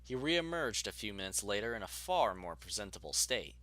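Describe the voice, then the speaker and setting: Male storybook narrator, animated intonation, crisp forward tone, very dry sound. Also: lowish voice, a little fast, dry recording.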